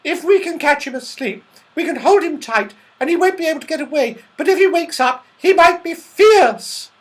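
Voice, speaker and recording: A woman voicing an excitable, watchful young fairy-tale girl in a children's story, urgent and exclamatory. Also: sits high, moderate pace, pitched above the performer's own voice.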